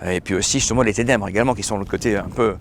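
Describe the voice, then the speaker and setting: A hoarse man, a crime novelist, answering interview questions casually with many fillers and restarts. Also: lowish voice, rapid-fire, a little hiss or hum. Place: outdoor festival.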